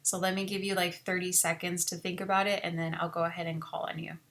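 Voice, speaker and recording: A woman from South Texas leads an online class orientation as a college biology instructor. Her voice sits fairly low, and she talks in an easy, chatty way with plenty of fillers. The sound comes through a video call. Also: quick pace. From Rio Grande Valley, South Texas. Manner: relaxed, chatty, many fillers.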